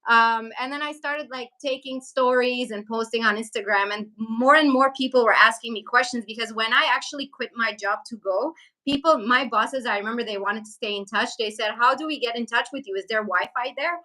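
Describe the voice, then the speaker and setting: Woman from syria chatting on a livestream, bright highish voice, informal storyteller. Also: a little fast, low-quality recording. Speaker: travel vlogger and livestream host. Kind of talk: casual livestream chat.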